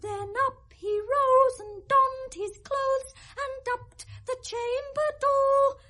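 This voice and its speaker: A woman's voice: very high-pitched, fairly even intonation, takes it slowly, sharp tone, full character voice. A woman playing a grief-maddened young noblewoman, distracted and drifting between speech and song, in a Shakespeare radio play. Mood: giddy singing. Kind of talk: 1970s radio Shakespeare acting, half sung.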